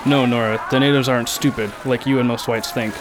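A man's voice: medium pitch, fairly even intonation, brisk, background noise. A man playing a firm, commanding passenger who takes charge in a radio drama.

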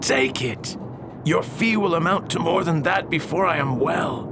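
A man's voice: fairly high pitch, a little slow, loud music behind the voice, natural voice. A man playing an older father and town druggist in an audio drama, with noise in the recording. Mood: weak, pleading. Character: ailing father and druggist, polite, anxious old patient.

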